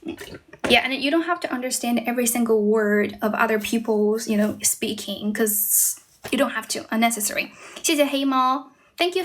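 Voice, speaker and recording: A woman's voice: fairly high pitch, a bit bright. A young woman from China with a Chinese accent in English, chatting casually on a livestream as an English teacher and thanking viewers as she goes. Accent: Chinese, mixed with American and British.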